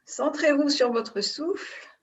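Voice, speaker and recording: Slow-paced woman guiding relaxation, distant mic. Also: high-pitched. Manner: calm, unhurried, pausing between short phrases. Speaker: yoga nidra instructor. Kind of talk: slow guided relaxation instruction. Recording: distant mic, dull muffled sound.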